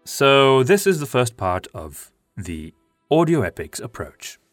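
A man whose first language is Flemish, hosting a podcast and talking about his writing with many pauses and ums. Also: medium pitch, very animated, dry recording, soft background music. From Flanders. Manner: hesitant, many ums and restarts. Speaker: podcast host and audio drama writer. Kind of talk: casual monologue, then reading his own article.

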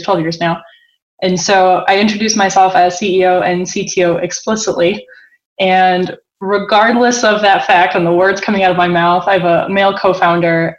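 A woman's voice: mid-range voice, muffled recording. An American woman in her thirties talking casually as an interview guest, acting out quotes as she explains. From United States (Boston). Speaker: interview guest, tech entrepreneur.

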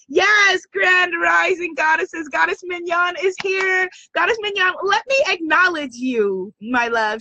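A woman with a slightly hoarse voice hosts a live stream, effusively greeting viewers by name. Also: sits high, pushed and bright, no room sound. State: slightly lost voice. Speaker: host of a live spiritual business stream.

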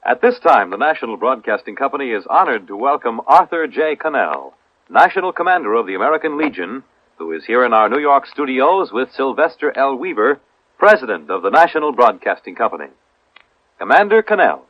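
A man's voice: mid-range voice, big pitch swings, fairly quick, no room sound. A man announcing formally as a network radio announcer in 1950s style. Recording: dull old radio recording.

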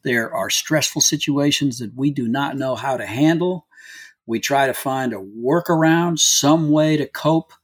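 Husky chatty male podcast host. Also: medium pitch, measured pace. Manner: relaxed, chatty, frequent fillers. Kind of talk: podcast conversation.

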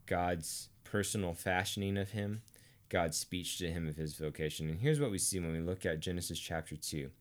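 An American man from Arkansas teaching theology conversationally, speaking deliberately and emphatically with pauses for effect. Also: low-pitched. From Arkansas, United States. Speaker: teacher and co-host of a theology series.

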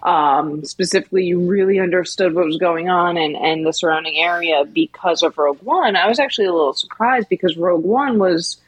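Female podcast co-host, husky and a bit low, thoughtful casual chat. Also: no echo, rough recording. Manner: thoughtful, searching for words with ums.

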